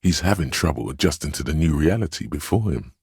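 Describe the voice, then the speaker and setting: A man voicing a sensitive inner voice in a video game, narrating other people's feelings in a measured, gentle, literary way. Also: extremely low pitch, expressive, breathy edge, no room sound, clean studio sound, heavily acted. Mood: concerned, observant.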